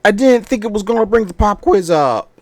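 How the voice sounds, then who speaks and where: A man's voice: high voice, expressive, a little fast. A man doing casual live game-stream commentary, rambling and repeating himself. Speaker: game streamer at a charity event. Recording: music playing behind the voice. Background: game music.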